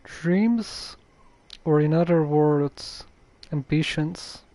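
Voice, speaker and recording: A woman comments casually while streaming a game. Her voice is mellow and mid-pitched, and she talks slowly and haltingly in a dry, close sound. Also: no echo.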